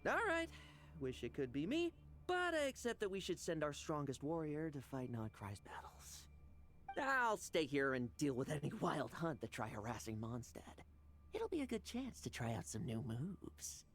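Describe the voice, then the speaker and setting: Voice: mid-range voice, wildly swooping pitch, moderate pace. A blunt fight-hungry warrior. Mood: eager. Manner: blunt, casual, eager. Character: eager young warrior, blunt and fight-loving. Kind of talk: game dub acting.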